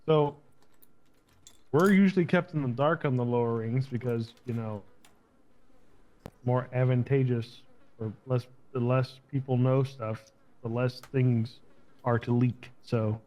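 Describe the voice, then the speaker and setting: A man's voice: mid-range voice, steady tone, a little slow, long pauses, muffled recording, lightly acted. A man playing a captured, unrepentant henchman in a game roleplay, giving guarded, casual, matter-of-fact answers over voice chat. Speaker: role-player in an online game. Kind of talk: improvised roleplay interrogation.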